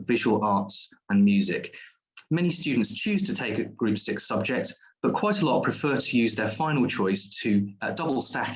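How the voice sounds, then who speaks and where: A man's voice: fairly low pitch, rough recording. A man presenting a school's diploma courses at an online open day, explaining at length with frequent ums and restarts.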